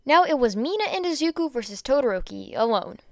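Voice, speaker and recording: A woman reading fan fiction aloud in an expressive storytelling style, with a clear voice. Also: high-pitched, fairly quick, dry recording, studio quality.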